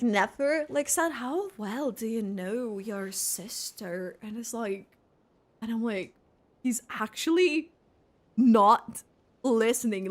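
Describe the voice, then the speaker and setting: A woman game streamer giving excitable, halting reaction commentary as she plays. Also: a little high. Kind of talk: live gameplay reaction commentary.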